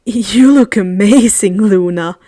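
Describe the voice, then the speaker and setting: A woman's voice: mid-range voice, takes it slowly, mellow, no echo, clean studio sound, pitched below the performer's own voice. A woman voicing an awkward, smitten teenage boy in a storybook reading, eager and earnest, often hesitant and stammering. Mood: flustered, earnest. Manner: hesitant, stammering, breathless with awe.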